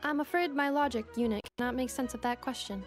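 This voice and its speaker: A woman voicing a polite, composed female robot assistant in a video game, speaking in a measured, formal, explanatory way. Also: a little high, lightly acted. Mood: puzzled. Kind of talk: anime-style video game voice acting. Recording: faint music behind the voice.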